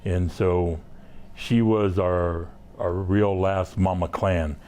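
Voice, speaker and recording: Male guest from Southeast Alaska, deep and slow, relaxed storytelling. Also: slight background noise. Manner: slow, unhurried, halting with many fillers. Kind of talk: casual interview storytelling.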